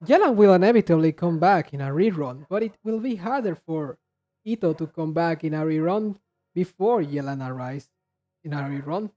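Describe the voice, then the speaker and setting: A man chatting casually and haltingly as he hosts a reaction video, rambling in a low-key way. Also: expressive, warm tone. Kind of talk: casual chat, reacting to game trailers.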